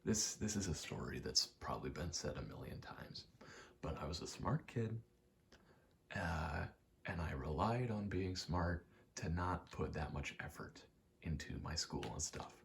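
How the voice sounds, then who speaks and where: A young man giving a soft-spoken, halting, reflective ASMR ramble, telling personal stories in a hushed voice close to the mic. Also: low voice, lively intonation, creaky, slight background noise. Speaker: ASMR creator.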